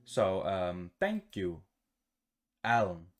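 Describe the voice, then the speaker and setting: Man reading as a shy, halting young protagonist. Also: low voice, very animated, full character voice. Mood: awkward, grateful. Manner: halting, awkward. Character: shy, rambling young visual novel protagonist. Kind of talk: visual novel dialogue read-aloud. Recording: music behind the voice.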